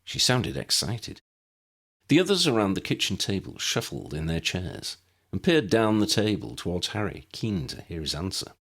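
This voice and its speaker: Low, expressive male storyteller. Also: normal speed, husky. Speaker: author reading his own story. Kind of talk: scripted audiobook narration.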